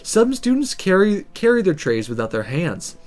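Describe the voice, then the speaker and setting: A let's player reading a visual novel aloud in a dramatic, sometimes halting storytelling manner. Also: mid-range voice, very animated, darkish tone, faint music behind.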